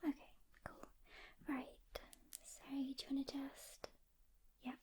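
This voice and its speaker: A woman speaking in a hushed, gentle ASMR whisper, unhurried, playing a calm demon hunter who talks directly to the listener. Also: high-pitched. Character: calm experienced female demon hunter briefing a newcomer. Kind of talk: soft-spoken whispered ASMR roleplay.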